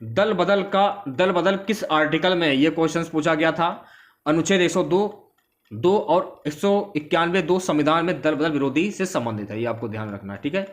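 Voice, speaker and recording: A man's voice: fairly high pitch, moderate pace. A man giving an informal exam-prep lecture, explaining questions and answers and repeating key phrases for students.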